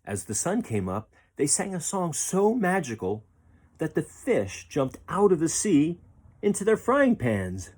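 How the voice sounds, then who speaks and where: A man reading a children's picture book aloud as a storyteller, with expressive, deliberate phrasing. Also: on the high side, husky.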